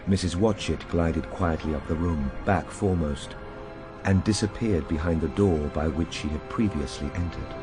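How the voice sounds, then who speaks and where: An actor playing a weary, intense Victorian gentleman time traveller, telling his tale dramatically in short, halting phrases for a radio drama. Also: sits low, close to an everyday voice. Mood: reflective. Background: music.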